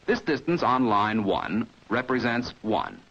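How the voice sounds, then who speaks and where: An American man reading a scripted 1950s training film narration in a measured, precise, didactic manner, as heard on an old film soundtrack. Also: fairly low pitch, very animated, vocal fry, a bit bright, highs cut off.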